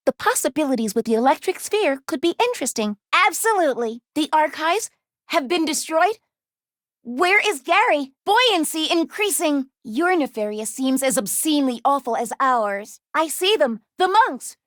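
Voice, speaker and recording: Game role: young female robot, high voice, very dry recording, earnest, self-doubting, formal and precise. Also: a bit bright, dry recording. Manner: formal, precise, careful diction without contractions. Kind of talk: video game character voice lines.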